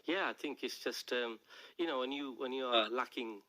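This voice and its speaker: A middle-aged man with a Bangladeshi accent, a native Bengali speaker, talking casually and haltingly as a guest in a radio studio interview. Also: medium pitch, sharp tone, rough recording. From Bangladesh and England. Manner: halting, hesitant, frequent "you know". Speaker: interview guest, charity worker and businessman.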